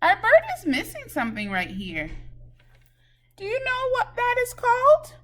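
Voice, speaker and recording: High, animated woman teaching preschoolers. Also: unhurried. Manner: animated, asking questions then answering them for young children. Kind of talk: children's craft lesson.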